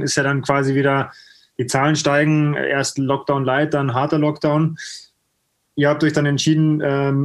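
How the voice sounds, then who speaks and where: A man interviewing his guests in a casual conversation. He asks long questions and hedges a lot while he phrases them. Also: on the high side.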